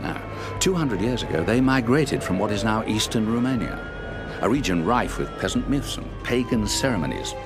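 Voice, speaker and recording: An actor playing a learned, earnest doctor who explains old superstitions in a measured, erudite way in a radio drama. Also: male voice, on the low side, big pitch swings, music in the background. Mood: grave, explanatory. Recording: radio drama with prominent soundtrack behind.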